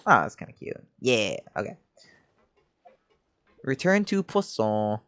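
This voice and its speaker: A woman's voice: a bass voice, big pitch swings, takes it slowly, clean tone, dry recording. A woman game streamer giving casual, offhand reactions and muttered asides while playing. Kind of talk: casual gameplay reaction commentary.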